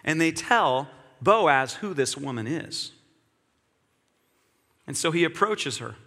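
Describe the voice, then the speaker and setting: A man's voice: fairly high pitch, lively intonation, slow pace, sharp tone, big-room sound. A man preaching a sermon in an earnest, reflective way, repeating key phrases.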